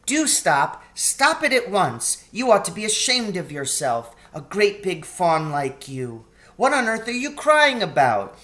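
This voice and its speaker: Guy doing a little girl from a storybook, high voice, polite n earnest, kinda anxious, very animated. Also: unhurried, hardly any pauses, exaggerated voice. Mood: scolding.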